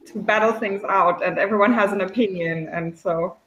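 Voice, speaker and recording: A woman from Germany chats through interview questions, giggling often and filling gaps with ums. Her voice is mid-pitched and carries a noticeable room echo. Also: steady tone, normal speed, a bit bright, muffled recording, a little hiss or hum. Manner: chatty and giggly, many fillers. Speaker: game developer community liaison. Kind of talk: casual interview answers.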